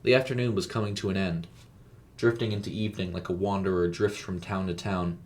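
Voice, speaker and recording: A man narrates a scripted story. His voice sits on the low side, and he tells it in a measured, descriptive manner. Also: fairly even intonation. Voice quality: low-pitched.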